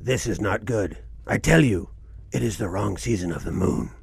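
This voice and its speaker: An actor playing a grave, superstitious old doctor who croaks out foreboding pronouncements in a suspense audio drama. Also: male voice, on the low side, lively intonation, moderate pace, darkish tone, no room sound, heavily acted. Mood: grave warning. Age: older. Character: ominous, superstitious old male doctor and family friend.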